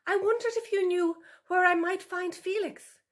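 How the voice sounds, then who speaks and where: A woman's voice: very high voice, fairly even intonation, moderate pace, voice pushed up. A woman voicing an adult female fox in a children's animal story, anxiously polite and placating, with forced cheerfulness. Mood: polite, cautious.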